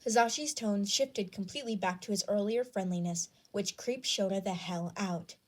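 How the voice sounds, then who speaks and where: A woman's voice: mid-range voice, moderate pace. A woman reading scripted fan fiction as the narrator.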